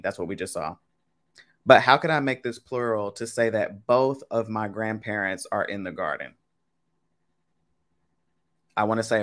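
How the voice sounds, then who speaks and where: Man, young adult, low voice, casual, chatty, live webcam lesson, teacher. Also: a bit bright. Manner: casual, chatty, talks to chat with y'all. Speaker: Spanish teacher. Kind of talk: live-streamed game lesson.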